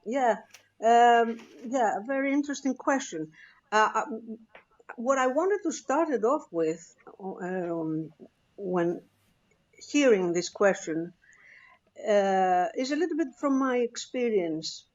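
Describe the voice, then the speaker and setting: A woman, a clinical psychologist speaking on a panel in a measured, thoughtful way with many hesitations. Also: on the high side, expressive, darkish tone, muffled recording. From Greece. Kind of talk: panel discussion.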